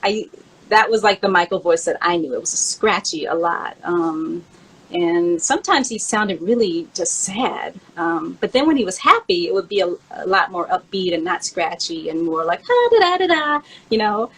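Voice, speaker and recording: A woman co-host reminiscing in casual chat, in an informal, halting manner. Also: lowish voice, expressive, slightly rough, low-quality recording. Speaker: co-host, memoir author.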